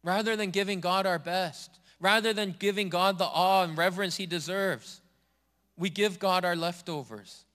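A man preaching a sermon as a pastor, speaking earnestly in a steady, repeating preaching cadence. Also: high voice, normal speed, in a large hall. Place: church sanctuary.

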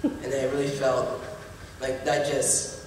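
A young man tells his faith story in an earnest, hesitant way, leaning on fillers. He is heard from afar in a large hall with long reverb and steady background noise. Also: medium pitch. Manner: earnest, halting, filler words. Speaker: baptism candidate. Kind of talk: personal testimony. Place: church stage in baptism tank. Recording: echoey hall from far off.

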